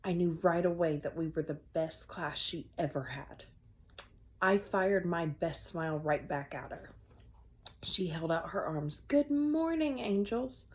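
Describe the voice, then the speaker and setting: A woman teacher reading a children's chapter book aloud, with expressive storybook delivery. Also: low voice, a little slow.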